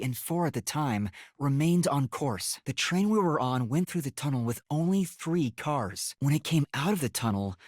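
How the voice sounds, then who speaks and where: An earnest young detective from a video game, boyish and slightly husky. His delivery swings widely in pitch, timid at heart yet determined, with every word carefully placed. The recording is close and dry. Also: dry recording. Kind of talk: English video game voice acting, scripted.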